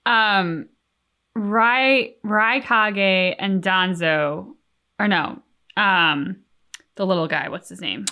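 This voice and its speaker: A woman's voice: medium pitch, drawn-out words, pushed and bright. A woman co-hosting a reaction video who talks casually and halts as she searches for words.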